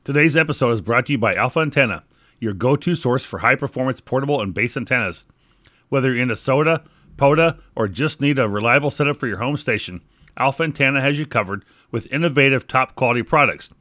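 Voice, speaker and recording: A man's voice: mid-range voice, expressive, quick pace, sharp tone, no echo. American man hosting a hobby podcast, dry sound. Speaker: podcast host. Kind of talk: podcast news reading and sponsor reads.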